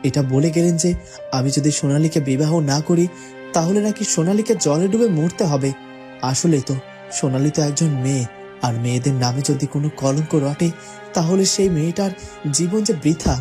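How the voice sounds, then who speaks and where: A man's voice: a little high, brisk, dark tone, faint music behind, close to an everyday voice. A man voicing a troubled, hesitant young man in an earnest, pondering cartoon monologue. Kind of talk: cartoon dubbing, dramatic monologue.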